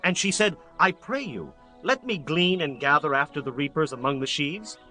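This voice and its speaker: A man's voice: on the high side, moderate pace, bright, forceful tone, soft background music. A man playing a dutiful servant who oversees field reapers, reporting formally in a dramatized scripture audiobook. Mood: deferential.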